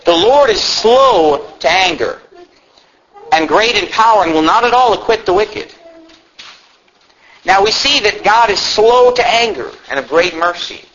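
A man's voice: high voice, animated, measured pace, a bit bright. An American man preaching a sermon, speaking emphatically with rhetorical questions and repetition to drive points home. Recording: muffled lo-fi with faint background noise.

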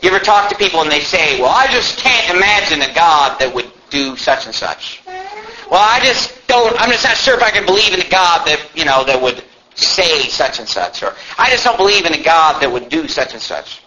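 A man's voice: a little high, big pitch swings, normal speed, sharp tone. An American man preaching a sermon, speaking emphatically with rhetorical questions and repetition to drive points home. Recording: muffled lo-fi with faint background noise.

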